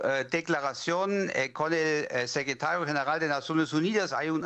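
Man, German accent, non-native speaker, slightly high pitch, academic interviewee, explanatory, halting with repeated words. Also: a bit bright. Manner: halting, repeats words while searching for phrasing. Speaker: legal academic, interview guest.

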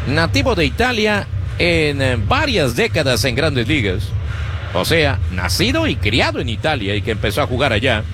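A man announcing a baseball game play by play for a radio broadcast, in a rhythmic, animated calling style. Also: on the high side, a little hiss or hum. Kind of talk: Spanish-language baseball radio commentary.

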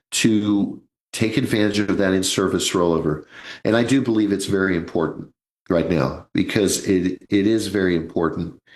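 A man's voice: deep voice, reverberant. A man in his sixties hosting a live financial radio show, chatty and joking, calling his listeners folks. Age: 60s. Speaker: radio host, financial advisor.

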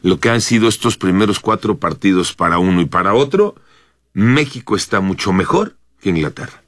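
A Mexican man hosts a morning news show on radio. His voice sits low but rises and falls a great deal. The studio sound is dry and close, and he argues his point with enthusiasm. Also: a little fast, dry recording. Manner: lively, opinionated, keeps checking agreement.

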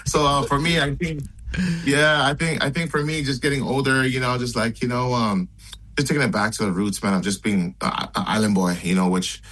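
A man's voice: mid-range voice, steady tone, fairly quick, fairly bright tone. Laid-back man on a video call. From Hawaii. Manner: laid-back, easygoing, lots of fillers. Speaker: musician guest. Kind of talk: casual interview chat.

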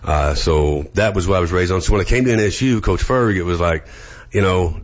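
Male guest, very deep voice, outgoing but hesitant delivery, dull lo-fi recording. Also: a little fast. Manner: hesitant, many fillers and restarts, outgoing. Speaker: guest, sports announcer. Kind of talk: casual interview chat.

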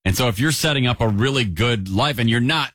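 A man's voice: mid-range voice, brisk, fairly bright tone, no echo, clean studio sound. A man co-hosting a radio show, casually thinking aloud in conversation.

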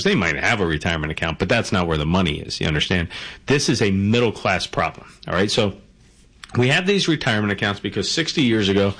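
A man speaks in a relaxed explanatory monologue. He leans on rhetorical questions and quick check-ins with the listener. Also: fairly low pitch. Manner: conversational, rhetorical questions, frequent "okay?" and "right?". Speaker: financial commentator.

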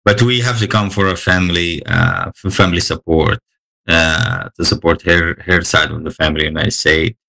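A man's voice: sits low, steady tone, normal speed, creaky, bright, forceful tone. A man with an Egyptian accent, a guest chatting casually with frequent fillers and easy laughs. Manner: hesitant, many fillers, laughs easily. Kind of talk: casual interview chat.

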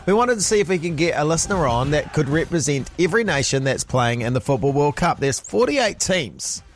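Upbeat high-voiced New radio host. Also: male voice, very animated, slight background noise. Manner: upbeat, chatty, quick laughs. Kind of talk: casual radio chat.

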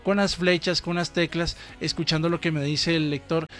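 Earnest Costa Rican man, higher voice. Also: little pitch movement, fairly bright tone, soft background music. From Costa Rica. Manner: earnest, reflective, halting with pauses. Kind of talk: interview answers.

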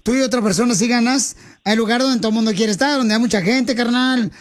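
Very high-pitched Mexican male radio host. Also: fast talker. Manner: playful, teasing, slangy. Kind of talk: boisterous comedic radio banter with callers and sidekicks.

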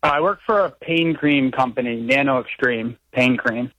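A man in his early twenties talking haltingly and conversationally as a radio show caller. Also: medium pitch, fairly bright tone, no echo, muffled recording. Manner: hesitant, halting. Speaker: phone-in caller. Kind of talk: conversational call-in.

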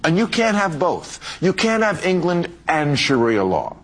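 A man's voice: on the high side, huge pitch swings, unhurried. A man delivering a punchy, sarcastic monologue before a live audience, in a played clip. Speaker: comedian-commentator in an archive clip. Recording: clip with audience noise behind.

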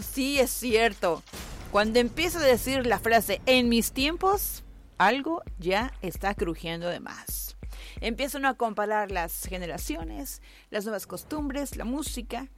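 A woman's voice: on the high side, slight background noise. A woman, hosting a live radio show and reading out listener messages in a chatty way, often laughing and exclaiming. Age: 40s. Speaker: radio host. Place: radio studio. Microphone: studio microphone.

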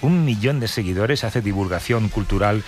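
A man hosting a morning radio talk show, lively and joking as he leads the conversation. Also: on the low side, moderate pace. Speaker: radio host.

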